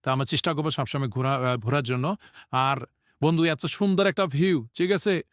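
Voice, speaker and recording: A young man chats loosely to camera, pausing on fillers as he gathers his thoughts. His voice sits a little high and sounds close, with a dry and unechoing quality. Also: no echo. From Bangladesh. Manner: relaxed, hesitant with many fillers. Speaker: vlogger interviewing a friend. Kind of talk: casual outdoor vlog chat. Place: outdoors in a park.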